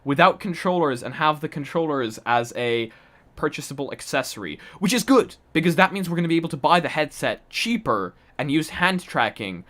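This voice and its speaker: A man's voice: on the high side, lively intonation, fairly quick, a bit bright. Chatty Polish-born man streaming live. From Poland. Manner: chatty, laughs often, reads chat aloud. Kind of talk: casual live-stream chat.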